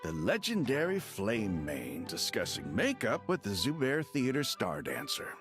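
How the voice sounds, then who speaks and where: Wry, animated townsman. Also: medium pitch, unhurried. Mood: amused. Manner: wry, teasing. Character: amused, gossipy, easygoing townsman. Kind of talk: video game voice acting. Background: background music.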